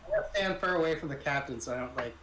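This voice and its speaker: Mid-pitched man on a noisy mic. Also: racing. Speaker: tabletop game player. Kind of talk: casual group game chat. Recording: clear background noise.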